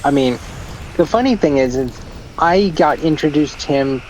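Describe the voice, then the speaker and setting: A man's voice: a little high, lively intonation, a little slow, darkish tone, a little hiss or hum, rough recording. A man co-hosting a livestream, chatting casually and hesitantly, often laughing. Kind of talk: casual chat.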